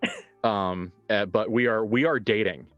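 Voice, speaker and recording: Male game master, deep register, casual reflective talk with halting emphasis, dull recording with soft music underneath. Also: no room sound. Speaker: game master and writer of an actual-play show. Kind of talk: casual reflective discussion, answering questions.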